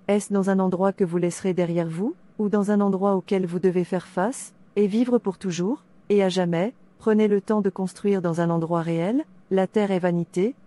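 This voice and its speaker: Mellow-voiced woman narrating a devotional. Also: mid-range voice.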